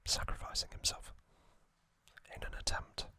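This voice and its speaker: A man's voice: moderate pace, sharp tone. A man whispering in ASMR style as he recounts trivia, hesitant and full of ums and uhs. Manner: hesitant, self-correcting delivery. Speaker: ASMR creator.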